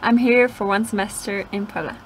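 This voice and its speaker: A woman's voice: mid-range voice, fairly even intonation, normal speed, slight background noise, rough recording. A young woman from Austria, an exchange student guest who speaks hesitantly. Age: young adult. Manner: hesitant, with many "like" and "um" fillers.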